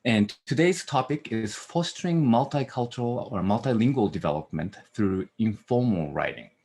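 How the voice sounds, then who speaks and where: A man's voice: mid-range voice, expressive, normal speed, darkish tone, breathy edge. A middle-aged man from Japan with a Japanese accent, giving an academic lecture over a video call, earnest and full of "uh" fillers. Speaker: guest lecturer.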